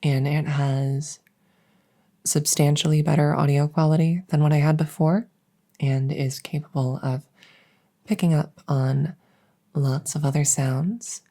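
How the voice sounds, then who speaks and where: A woman speaking gently in a soft ASMR style, close to the mic, sometimes dropping into a whisper. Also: sits low, steady tone, a little slow. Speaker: audio roleplay creator. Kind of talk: soft-spoken ASMR introduction. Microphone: close stereo condenser mic.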